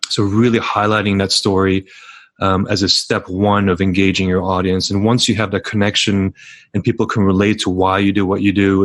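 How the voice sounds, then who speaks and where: A man's voice: lowish voice, dry recording. A middle-aged man talking earnestly as a podcast guest, telling stories with frequent restarts. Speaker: podcast guest, startup founder. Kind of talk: casual podcast interview.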